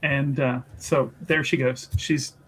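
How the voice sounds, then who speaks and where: A tabletop roleplaying player casually improvising narration of a character's actions, animated and giggly, often rephrasing midway. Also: medium pitch, takes it slowly, low-quality recording. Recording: clear background noise.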